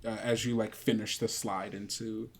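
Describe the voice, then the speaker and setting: A man narrating a tabletop game as game master, informal and halting as he improvises the scene. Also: on the low side, level tone, vocal fry.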